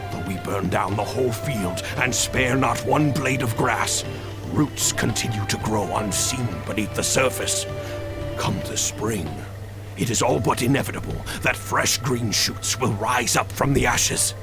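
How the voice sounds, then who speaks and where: An actor playing a wise old general and royal counselor in a video game's stage play, grave, eloquent and declamatory. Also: male voice, medium pitch, unhurried, close to an everyday voice. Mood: grave, cautioning. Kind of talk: theatrical stage-play acting in a video game. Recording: music behind the voice.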